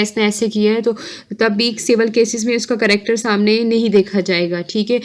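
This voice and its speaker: Female online lecturer, medium pitch, informal explanatory delivery, reads out points then paraphrases with frequent check-in tags. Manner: explanatory, often checking understanding with short tags. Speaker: law lecturer, online teacher.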